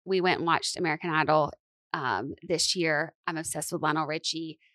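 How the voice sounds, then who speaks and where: A woman's voice: low-pitched, steady tone, moderate pace, sharp tone, no room sound. Eager young woman host, dry sound. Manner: enthusiastic, rambling questions. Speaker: podcast host. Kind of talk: casual interview chat.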